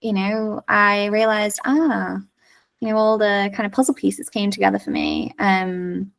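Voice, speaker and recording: A woman talks as a podcast guest, candid and frank. Her voice carries a gravelly vocal fry at a middling pitch. She is recorded a little away from the mic. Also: fairly even intonation, a little slow, recorded from a distance, poor audio. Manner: candid, frank, laughs easily. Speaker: podcast guest, coach and yoga teacher. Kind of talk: casual podcast conversation.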